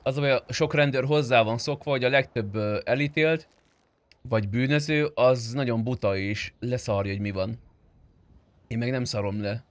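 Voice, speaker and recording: A man's voice: medium pitch, no echo. A man streaming a roleplay game, talking casually and argumentatively in character, with some swearing.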